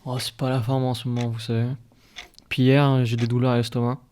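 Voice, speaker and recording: A man's voice: medium pitch, level tone, fairly quick, darkish tone, natural voice. Guy acting sick worried 1950s villager in a radio play, stammers, folksy, bit of noise and ticking behind. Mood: weary, unwell. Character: ailing, anxious village working man, 1950s patient. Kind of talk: audio fiction podcast acting.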